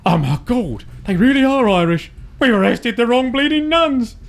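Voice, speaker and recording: A man playing a pompous, brisk male police sergeant who is fond of his own puns, in an amateur radio play. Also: very high-pitched, expressive, vocal fry, heavily acted. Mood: smug.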